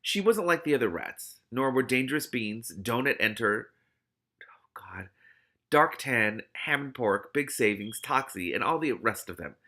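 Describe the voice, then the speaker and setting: A man reading a novel aloud in an expressive, animated way, adding his own exclamatory commentary. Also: mid-range voice, a little slow. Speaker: host of a read-aloud commentary show.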